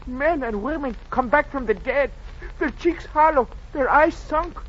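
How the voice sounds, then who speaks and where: A superstitious Mexican ranch hand in a vintage radio drama, frantic and exclamatory. His voice is extremely high, with a Mexican Spanish accent, on a muffled and faintly noisy old recording. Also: measured pace, fairly bright tone. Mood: panicked. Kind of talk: 1940s radio acting.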